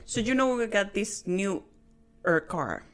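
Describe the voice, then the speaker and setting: A woman's voice: medium pitch, lively intonation, measured pace. A woman in her thirties whose first language is not English, telling a self-mocking story and laughing often while co-hosting a casual podcast chat. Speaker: podcast co-host.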